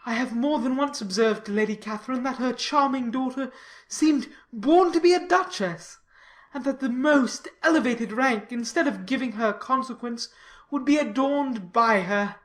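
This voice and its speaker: A woman's voice: fairly high pitch, normal speed, pitched above the performer's own voice, lightly acted. A woman voicing a pompous, self-important and obsequious clergyman in a dramatized classic novel, speaking in wordy, formal sentences. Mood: fawning, self-satisfied.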